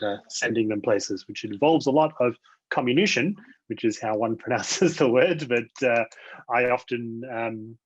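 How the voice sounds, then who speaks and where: A man's voice: lowish voice, husky, no room sound. Easygoing Australian man on a dull call. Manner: easygoing, lightly self-deprecating, with fillers. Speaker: invited speaker. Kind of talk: webinar presentation. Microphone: video call. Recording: dry, dull lo-fi video call.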